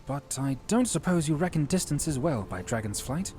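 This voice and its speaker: A man acting an earnest, mellow fantasy hero. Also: fairly high pitch, lively intonation, normal speed, faint music behind, close to an everyday voice. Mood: courteous, resigned. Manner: formal, solemn, archaic courtly phrasing. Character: earnest, courteous fantasy hero, troubled leader. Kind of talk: fantasy audio drama acting.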